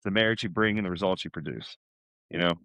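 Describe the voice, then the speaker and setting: A man's voice: sits low, quick pace, sharp tone, dry recording. A man speaking as a podcast guest in a casual, chatty, informal conversational style, often dropping in "man" and "you know".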